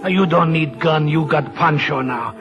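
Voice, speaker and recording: A man's voice: on the high side, moderate pace, lightly acted. A man playing a kindly, humble Mexican carousel operator in broken, Spanish-sprinkled English, in 1940s radio drama style. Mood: soothing. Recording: muffled vintage radio recording with music.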